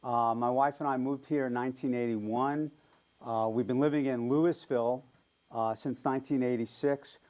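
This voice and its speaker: A man's voice: medium pitch, fairly even intonation, moderate pace, a little mellow. Older man with a New York accent. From New York area. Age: 70s. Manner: friendly, chatty, frequent ums and light laughs. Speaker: guest speaker. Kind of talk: casual public talk.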